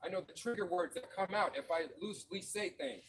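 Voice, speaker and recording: A man's voice: high-pitched, brisk, a bit bright, slight background noise, low-quality recording. A man from Florida speaking fervently and profanely to fellow marchers outdoors at night, in slangy everyday speech. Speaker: protest marcher. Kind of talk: impassioned street speech. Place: outdoors at a night street protest.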